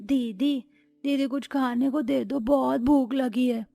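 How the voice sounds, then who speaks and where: A woman's voice: sits high, fairly even intonation, slow, gravelly, dark tone, no room sound, soft background music, exaggerated voice. A woman voicing a hungry orphaned small child in a cartoon, plaintive and tearful. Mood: hungry, pleading.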